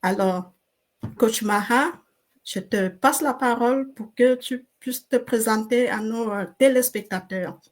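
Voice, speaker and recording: A middle-aged woman from Cameroon hosts a live online interview. Her voice sits a little high, and she takes her time, pausing on fillers as she gathers each phrase. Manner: slow and deliberate, with hesitant fillers. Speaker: host.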